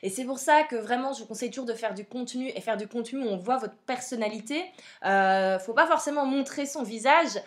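A woman's voice: a little high, fairly quick. A young woman with a Belgian French accent hosting a podcast, chatty and frank with plenty of fillers. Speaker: podcast host. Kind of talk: casual advice podcast.

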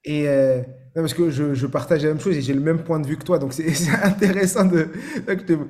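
A man hosting an interview podcast, speaking in a slightly raised, warm voice. He keeps affirming his guest and asks loose, rambling questions. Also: a little high, a little fast. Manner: affirming, frequent "d'accord". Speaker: podcast host. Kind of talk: casual interview conversation.

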